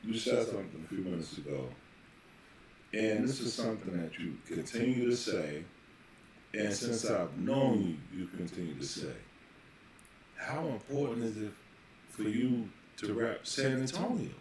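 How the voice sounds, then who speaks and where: A man hosting a casual podcast interview, building long questions in halting, repeated phrases. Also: fairly low pitch, lively intonation, a little mellow. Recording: very echoey room, faint background noise.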